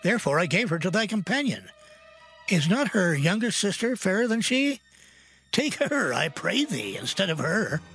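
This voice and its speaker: An anxious older father pleads in a high, crackly voice that rises and falls a lot. He is placating, in the manner of a biblical radio play. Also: very animated, normal speed, fairly bright tone, soft background music, exaggerated voice. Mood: anxious pleading. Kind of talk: dramatized scripture acting.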